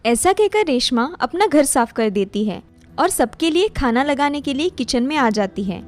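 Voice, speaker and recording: A woman with a high voice narrates a scripted tale. She works as a storyteller, moving through plain narrative sentences, with faint music playing behind her. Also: lively intonation, moderate pace.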